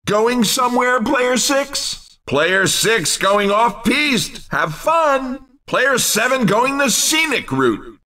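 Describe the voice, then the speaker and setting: High-pitched, animated male racing commentator. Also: distant mic. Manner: theatrical, jokey sports-announcer delivery. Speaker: race commentator in a video game. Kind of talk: excited cartoon racing game commentary.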